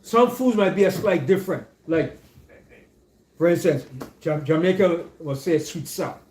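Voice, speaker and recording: A man's voice: on the high side, expressive, measured pace, darkish tone. Man, echoey room. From Caribbean. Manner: keeps checking in with "you understand?". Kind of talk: casual group discussion.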